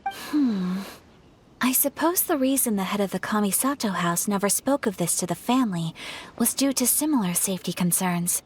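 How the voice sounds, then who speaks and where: A woman playing a poised, dutiful young noblewoman in an anime-style game, speaking formally and with measured reassurance. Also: medium pitch, animated, a little hiss or hum, lightly acted. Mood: composed, concerned.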